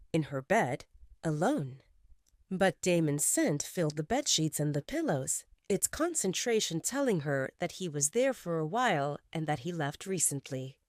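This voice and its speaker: A woman narrating a romance audio story in an expressive, scripted style, close on a studio mic. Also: fairly low pitch, no room sound. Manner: expressive, swinging in tone.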